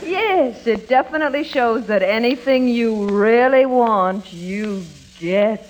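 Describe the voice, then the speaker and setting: An actress playing a coy, doting drugstore clerk who flirts and teases in a cooing 1940s radio comedy style. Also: female voice, fairly high pitch, animated, darkish tone. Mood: seductive. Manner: cooing, teasing, drawn-out delivery. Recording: crackly old radio recording.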